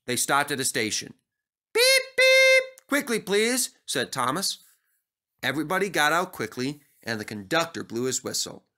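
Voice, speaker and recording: A man narrating a scripted children's story as an animated storyteller. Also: medium pitch, moderate pace.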